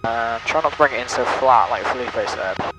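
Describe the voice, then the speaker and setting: Man narrating his flying over loud engine noise. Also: medium pitch, a little fast. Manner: clipped, repetitive checklist-like phrasing. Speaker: pilot and flying vlogger. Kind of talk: talking through flight manoeuvres aloud, with radio calls. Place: small plane cockpit in flight. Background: loud steady aircraft engine and radio.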